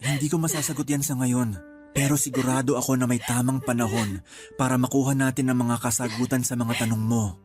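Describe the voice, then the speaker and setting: Faint music sits under a medium-pitched male voice, an actor's performance. He is a steadfast husband in a radio drama, consoling his wife with earnest patience. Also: fairly even intonation, brisk, natural voice. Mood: reassuring. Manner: earnest, gently reassuring.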